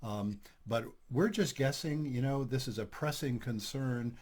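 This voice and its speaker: An older man hosting a couples therapy podcast, talking in a relaxed, chatty way with light self-deprecating humor. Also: mid-range voice, unhurried. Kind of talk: casual podcast chat.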